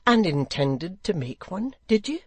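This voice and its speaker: Reader doing a pompous zealot lord in an old novel, formal, slow, very earnest n righteous. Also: mid-range voice, big pitch swings, darkish tone, husky, dry recording, pitched above the performer's own voice. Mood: solemn. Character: zealous, self-righteous English lord, solemnly easily offended.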